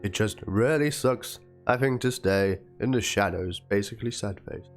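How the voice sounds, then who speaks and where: A man's voice: lowish voice, normal speed, lightly acted. A man doing a dramatic reading as a wounded young woman, plaintive and theatrical, spelling out chat slang. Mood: hurt, accusing. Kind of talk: dramatic reading of a written document and chat logs. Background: guitar music.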